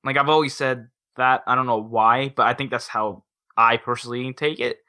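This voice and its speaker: A man's voice: mid-range voice, fairly even intonation, moderate pace, bright, forceful tone. A man chatting casually as a co-host on a podcast. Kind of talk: casual chat.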